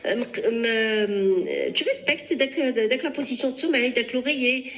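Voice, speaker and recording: A woman doctor with a Moroccan accent, answering callers on a radio advice show, mixing in French and restarting often. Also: sits high. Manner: fast Darija mixed with French, many restarts.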